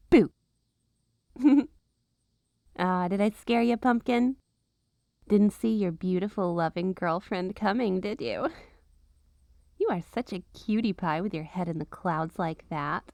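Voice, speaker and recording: A woman's voice: mid-range voice, slow pace, long pauses, a little mellow, dry recording, studio quality. A woman playing a possessive, witchy girlfriend in an ASMR roleplay, teasing and cooing with sugary sweetness and an undertone of menace. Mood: playful, teasing. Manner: teasing, cooing, sing-song baby talk to pets.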